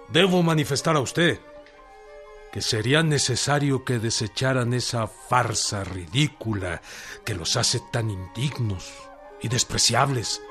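An actor playing a proud, indignant Mexican revolutionary general who declaims solemnly and emphatically in a radio drama. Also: male voice, medium pitch, a bit bright. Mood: solemn contempt. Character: proud, indignant revolutionary peasant general dictating a defiant letter. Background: music.

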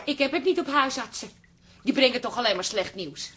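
A woman's voice: fairly high pitch, expressive, fairly quick, noisy background, close to an everyday voice. An actress playing a stubborn, fretful working-class woman, bluntly defensive, in a naturalistic radio drama. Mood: bitter, defensive.

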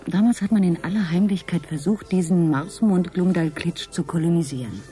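A woman acting a mellow, thoughtful sleuth. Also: lowish voice, moderate pace, soft background music, close to an everyday voice. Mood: pondering. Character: sober, doubtful woman piecing together a mystery. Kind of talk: German radio drama acting.

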